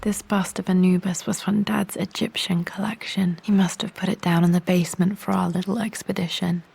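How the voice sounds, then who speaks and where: Low creaky-voiced thoughtful young Englishwoman. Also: flat delivery, brisk, darkish tone, heavily acted. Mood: reflective. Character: young adventurous woman archaeologist, thoughtful and determined. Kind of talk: video game voice acting, musing to herself.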